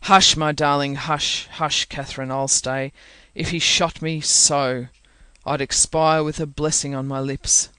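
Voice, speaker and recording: A reader voicing a brooding, passionate man in an audiobook, speaking low and intense. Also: level tone, a little slow, hardly any pauses, fairly bright tone, clean tone, dry recording, natural voice. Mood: soothing. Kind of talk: audiobook character reading.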